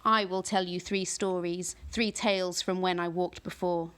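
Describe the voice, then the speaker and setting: A woman reading aloud, voicing a huge ancient male tree monster with slow, booming declamation. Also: fairly even intonation, fairly bright tone, clear, resonant tone, lightly acted.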